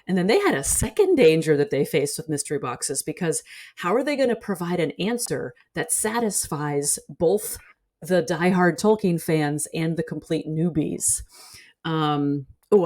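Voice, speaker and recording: A woman's voice: sits low, animated, moderate pace, a little mellow. A woman giving conversational, analytical commentary in an articulate, explanatory way. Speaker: guest scholar and commentator.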